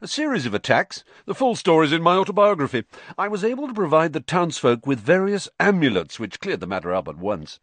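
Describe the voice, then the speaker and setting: A man's voice: on the high side, very animated, a little fast, fairly bright tone, no echo, voice pushed up. A man voicing a pompous, boastful middle-aged wizard teacher in an audiobook, breezy and self-satisfied. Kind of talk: audiobook character voice.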